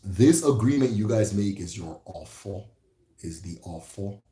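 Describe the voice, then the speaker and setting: A man with an Igbo accent talks through a livestream lesson on spiritual ideas in a low, mellow voice. His delivery is relaxed and reflective, and he repeats key phrases and keeps checking in with "right?". The sound is roomy and echoey. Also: a little slow. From Igbo, Nigeria. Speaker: spirituality teacher hosting a livestream. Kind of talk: casual livestream teaching.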